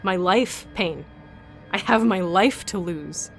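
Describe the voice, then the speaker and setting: A woman's voice: medium pitch, takes it slowly, soft background music. A woman playing a bitter, self-preserving captive fighter in an audio drama, blunt, demanding and sardonic. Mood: cynical, desperate.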